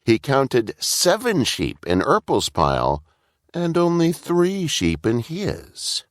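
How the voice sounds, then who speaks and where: A man's voice: medium pitch, wildly swooping pitch, takes it slowly, no room sound, clean studio sound. A man narrating a scripted children's story as a storyteller.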